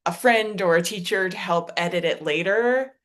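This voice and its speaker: A woman's voice: lowish voice, normal speed, fairly bright tone. A woman guest presenter answering questions on a video call, thoughtful and hedging as she gives advice. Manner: thoughtful, hedging, laughs easily.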